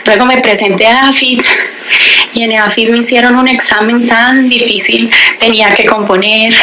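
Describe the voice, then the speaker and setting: A woman's voice: a little high, normal speed, background noise. A young woman, a language teacher giving a radio interview and candidly telling her story. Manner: lively, candid storytelling, acts out quoted dialogue.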